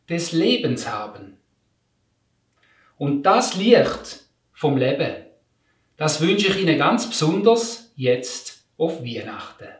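Youth pastor, slightly high voice, slow and reverent, distant echoey sound. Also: male voice. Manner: calm, deliberate, reverent. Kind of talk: Christmas message with scripture reading. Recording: echoey room, mic at a distance.

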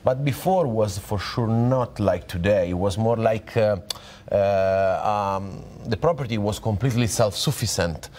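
A man with an Italian accent, a winemaker being interviewed on TV, who speaks hesitant English full of pauses and jokes playfully. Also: lowish voice, darkish tone. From Italy. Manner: hesitant, many filler pauses, playful joking. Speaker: winemaker guest.

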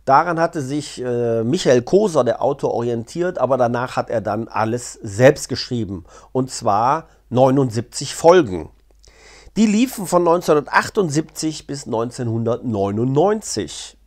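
A man's voice: mid-range voice, animated, normal speed, darkish tone. A man gives a casual, unscripted explainer in a chatty, colloquial way with wry asides. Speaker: vlogger and audio drama reviewer. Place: living room, seated on a sofa indoors. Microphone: clip-on lapel mic.

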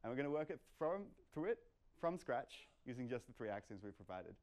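A man's voice: medium pitch, big pitch swings, fairly quick, a little mellow. A young man presenting informally as a lecturer, explaining emphatically and posing rhetorical questions. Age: young adult. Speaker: lecturer at a meetup. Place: lecture room, indoors.

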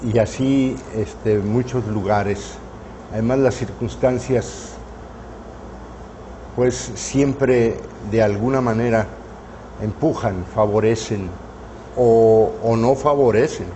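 A man in his seventies from Mexico, reminiscing in an interview in his studio, unhurried and full of hesitant fillers. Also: medium pitch, warm tone, slight background noise, rough recording. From northern Mexico. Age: 70s. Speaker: painter interviewee. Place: artist's studio, indoors. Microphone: lapel mic.

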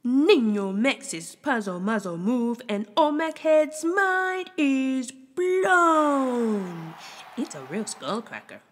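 A woman's voice: high-pitched, very animated, takes it slowly, long reverb tail. A woman storyteller reading a children's picture book aloud in a theatrical way, moving between whispers and exclamations.

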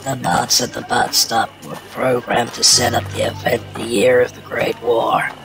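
A man's voice: medium pitch, little pitch movement, moderate pace, gravelly, music in the background, lightly acted. A man playing a matter-of-fact wasteland local in an audio drama. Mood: explanatory.

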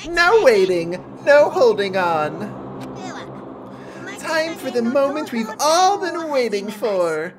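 A woman's voice: on the high side, very animated, slow pace. A woman voicing a gleeful sadistic bear mascot. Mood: gleeful teasing. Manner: sing-song, teasing and theatrical. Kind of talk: fan voice acting over a game. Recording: game music behind the voice.

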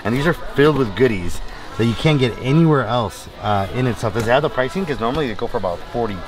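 A man co-hosting a casual vlog, walking through a candy store and talking excitedly to viewers. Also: mid-range voice, fast talker, low-quality recording. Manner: excitable, jokey, addresses viewers as fam. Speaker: vlog co-host. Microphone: handheld camera. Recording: loud store music behind. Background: store music.

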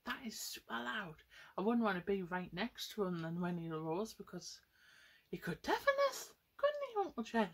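A woman's voice: mid-range voice, big pitch swings, normal speed. A young woman telling a story to children, addressing them directly with questions and exclamations. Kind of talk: storytelling to children with paper puppets. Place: small room at home, indoors.

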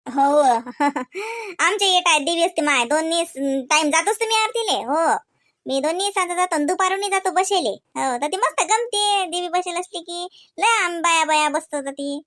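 A woman's voice: very high voice, lively intonation, moderate pace, a bit bright, no room sound, studio quality. A woman voicing a devout, caring, bossy village aunt in a comedy cartoon, with a homely Varhadi Marathi accent. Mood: chatty. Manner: chatty, homely, instructing.

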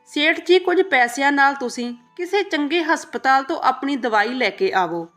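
A woman's voice: high-pitched, lively intonation, moderate pace, a bit bright, faint music behind. A woman voicing a humble, devoted maidservant and mother in a storybook tale, respectful and earnest. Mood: grateful caring.

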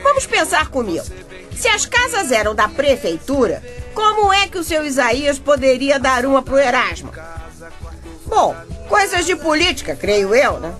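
A woman's voice: high-pitched, sing-song, normal speed, fairly bright tone, faint music behind. An actress playing an exasperated, gossipy Brazilian bricklayer's wife who chattily narrates her misfortunes in a radio soap. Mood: chatty, confiding. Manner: chatty, addresses listeners directly. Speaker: narrator and character. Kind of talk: radio soap opera acting, chatty storytelling.

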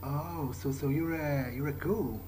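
Slow, hesitant man role-playing a game. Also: a little high, warm tone, a little hiss or hum. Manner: hesitant, tentative, halting. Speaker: gamer role-playing his character. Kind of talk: in-character game playthrough, thinking aloud. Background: video game ambience.

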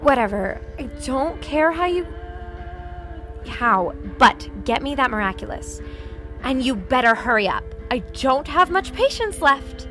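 A woman playing a smug, scheming teen villainess who boasts and threatens in a high, swooping voice. The delivery is comic-dub acting. Faint music plays beneath her. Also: very animated, unhurried, pushed and bright, full character voice. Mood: impatient.

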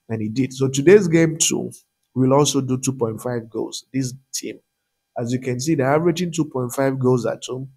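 Young Nigerian man, husky, relaxed chatty host. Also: medium pitch. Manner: chatty, many "um" fillers, addresses viewers directly. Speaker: betting tips channel host. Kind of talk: casual screen-share commentary.

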